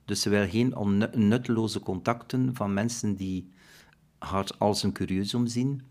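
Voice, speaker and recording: A man, an art collector, explaining in a steady, thoughtful way. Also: fairly low pitch, a little slow. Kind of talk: casual interview.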